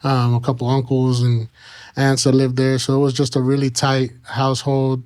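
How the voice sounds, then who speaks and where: Halting American man, clear, even voice. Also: mid-range voice. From Kansas and Mexico. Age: 30s. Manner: halting, frequent "you know" pauses. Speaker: interview guest. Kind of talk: casual personal storytelling.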